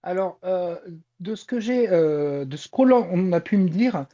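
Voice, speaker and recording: A woman's voice: on the low side, expressive, unhurried, dark tone, dull audio. A woman explaining things as a panelist in an informal discussion, wordy and often searching for her words. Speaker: podcast panelist and reporter on Japanese pop culture.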